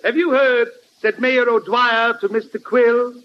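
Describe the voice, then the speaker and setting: A man's voice: extremely high pitch, fairly even intonation, a little slow. A man playing a pompous, hammy poet, declaiming rhymes grandly in 1940s radio comedy style. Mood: grandiose. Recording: dull, hissy old radio recording.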